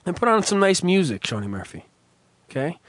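Male podcast host, raspy after a cough, profane rambler, slightly high voice, dry sound. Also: sing-song, fairly quick, no echo. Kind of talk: crude comedic podcast chat.

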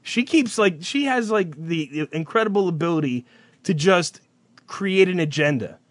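A man's voice: sits high, lively intonation, normal speed, dry recording. A man co-hosting a casual talk show, teasing and crude in his banter. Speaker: podcast co-host.

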